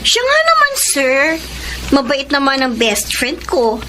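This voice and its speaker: A woman's voice: high-pitched, huge pitch swings, measured pace, background noise, full character voice. An actress playing a spirited young woman student in a Filipino radio drama, teasing and sincere, with a Filipino accent. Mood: bright, teasing. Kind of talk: radio drama acting with first-person narration.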